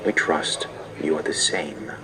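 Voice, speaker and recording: A menacing agent of a dictatorship with an extremely low voice. He speaks slowly and deliberately, cold and intimidating, a little far from the mic with a clear murmur of people behind him. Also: crowd noise. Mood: cold, threatening. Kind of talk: dramatic film acting.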